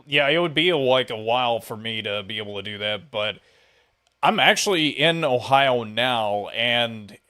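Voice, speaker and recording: A man's voice: mid-range voice, expressive, unhurried, bright, forceful tone. A man from the United States hosting a podcast, chatting casually and interviewing his guest. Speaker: podcast host.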